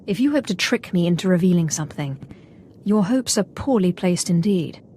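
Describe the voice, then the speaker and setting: An actress playing a cold, ruthless Sith apprentice in a video game, haughty and curt. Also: female voice, lowish voice, animated, moderate pace, mellow, slight background noise, natural voice. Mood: cool.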